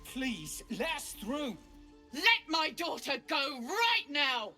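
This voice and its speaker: An actress playing a desperate, protective mother in a video game, forceful and impatient. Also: sits high, big pitch swings, slow, faint music behind, exaggerated voice. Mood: pleading, angry.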